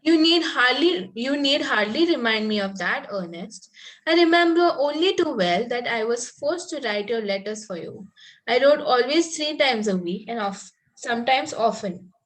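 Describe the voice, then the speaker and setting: A woman's voice: on the high side, lively intonation, normal speed, fairly bright tone, poor audio. A woman reading the part of a fanciful, coy Victorian young lady in an amateur table read, prim and earnest, with occasional stumbles. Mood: coy, sentimental.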